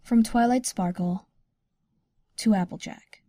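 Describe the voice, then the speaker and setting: A woman's voice: mid-range voice, takes it slowly, warm tone, no echo, studio quality. A woman giving scripted narration as the announcer who introduces each letter.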